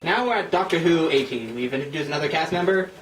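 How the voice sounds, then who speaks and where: A man with a slightly high voice chats casually as he hosts a script reading, poking fun at himself. He sits back from the mic in a roomy space, switching between explanation and read-out stage directions. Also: animated, fast talker. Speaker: host of a script-reading session with friends. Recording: roomy, distant mic with faint noise.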